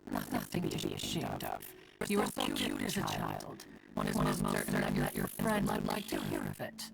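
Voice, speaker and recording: An ancient, dignified mountain guardian from a fantasy game, with stately, old-fashioned formal diction. The delivery swings widely in pitch with a gravelly crackle, over music in distorted, stuttering audio. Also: female voice, big pitch swings, moderate pace, full character voice. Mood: fond, chatty. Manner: archaic, formal, stately phrasing, calling self one. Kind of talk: scripted video game dialogue.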